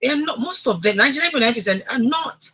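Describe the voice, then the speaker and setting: A woman's voice: high voice, expressive, quick pace, sharp tone, breathy edge. A woman with a Nigerian accent hosting a radio discussion, speaking emphatically and putting rhetorical questions to listeners. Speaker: radio talk show host.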